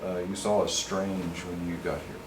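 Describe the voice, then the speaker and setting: Male interviewer, very deep, short prompts, echoey and noisy recording. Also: level tone, moderate pace. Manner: brief prompts and murmured backchannel. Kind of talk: interview questions. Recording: echoey room, far from the mic, noisy.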